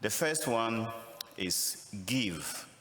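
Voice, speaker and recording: A man with a Ghanaian accent, a visiting priest giving a missionary appeal homily, speaking slowly and deliberately with touches of humor. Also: fairly low pitch, animated, pushed and bright, slight background noise. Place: large church. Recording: echoey church from a distance.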